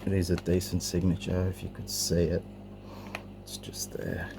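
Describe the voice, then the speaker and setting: A man's voice: deep voice, big pitch swings, normal speed. A middle-aged man giving a casual, unscripted show-and-tell of his collection, a little hesitant, on an amateur camera recording. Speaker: collector presenting a cassette collection. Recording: clearly noisy amateur home recording.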